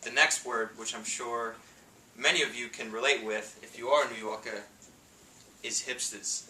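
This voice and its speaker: A man in his thirties with a New York City accent, giving a humorous contest speech with punchy timing and pauses for laughs. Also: medium pitch, fairly even intonation, sharp tone. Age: 30s. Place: meeting room with an audience. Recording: echoey room, distant mic, faint noise.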